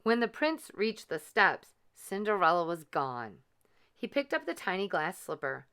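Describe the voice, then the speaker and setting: A woman narrating a children's storybook in a deliberate, expressive way. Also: lowish voice, smooth. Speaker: children's read-aloud host and storyteller.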